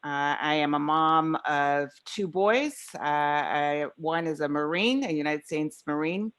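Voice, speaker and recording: A woman's voice: deep voice, measured pace, fairly bright tone, clear, resonant tone. A woman in her fifties or older, a professor, introducing herself as a task force member on a video call. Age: 50s or older. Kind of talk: self-introduction in a meeting.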